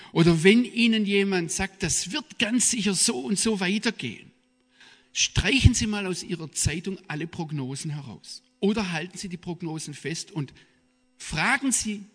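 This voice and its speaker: Man, middle-aged, southwest German accent, slightly high voice, emphatic public speaker, long hall reverb. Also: breathy edge. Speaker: guest speaker, journalist and theologian.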